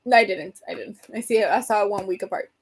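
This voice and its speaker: A woman hosting a casual livestream, rambling and answering viewer comments, her voice getting hoarse from talking so much. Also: medium pitch, fast. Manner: chatty, rambling, reads and answers comments, laughs often. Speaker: livestream host chatting with viewers.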